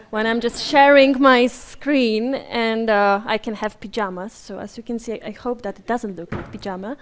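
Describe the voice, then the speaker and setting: Polish woman, conference speaker, higher-pitched, friendly rambling delivery with restarts. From Poland. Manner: chatty and apologetic, with frequent fillers and restarts. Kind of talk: live conference presentation. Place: conference room with a live audience. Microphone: handheld or stage microphone while moving around.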